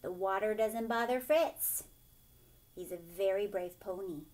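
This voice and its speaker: A woman in her thirties reading a children's picture book aloud expressively, pausing to explain and ask questions like a teacher. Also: medium pitch, a little mellow. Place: furnished living room, indoors.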